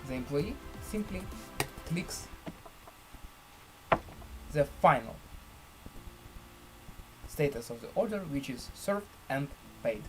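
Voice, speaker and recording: A man presenting a screen-share software tutorial in halting, deliberate phrases with formal, elaborate wording. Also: fairly high pitch, expressive, faint music behind, poor audio.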